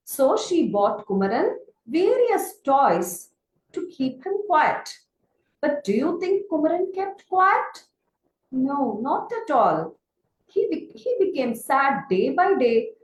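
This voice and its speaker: A woman telling a children's story in an expressive, deliberate way, putting questions to her listeners. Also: sits high, a little hiss or hum. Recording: echoey room.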